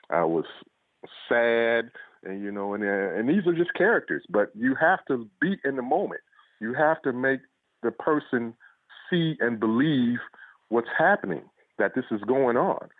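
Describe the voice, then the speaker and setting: A man's voice: lowish voice, dry recording. A man answering interview questions as an author, in a reflective, conversational way, often saying "you know". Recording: muffled, phone-like line.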